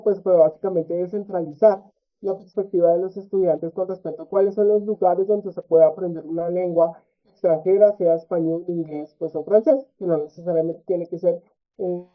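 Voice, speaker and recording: Man, academic presenter, measured delivery, frequent tag questions, tinny phone-like sound, video call. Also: high-pitched. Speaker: conference presenter.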